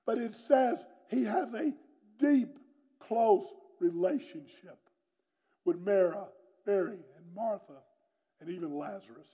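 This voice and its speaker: A middle-aged preacher with a booming, forceful way of talking. His voice sits high for a man but has a mellow, warm tone. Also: slow pace, husky, rough recording. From North Carolina, USA. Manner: booming, loud and strong delivery. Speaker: pastor. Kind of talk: sermon with personal testimony.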